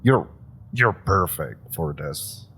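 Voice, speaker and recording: A man playing a pushy young superhero rookie, husky and low. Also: expressive, takes it slowly, fairly bright tone, heavily acted. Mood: eager. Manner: eager, pushy, persuasive talk with playful laughs. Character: eager young male super-powered rookie, pushy and persuasive. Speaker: voice actor in an audio roleplay. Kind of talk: scripted audio roleplay addressed to the listener.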